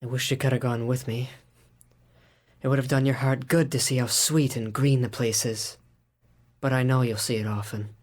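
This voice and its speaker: A man playing a grieving, gentle father who consoles his family softly. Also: mid-range voice, level tone, normal speed, lightly acted. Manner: soft, consoling. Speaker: Bob Cratchit.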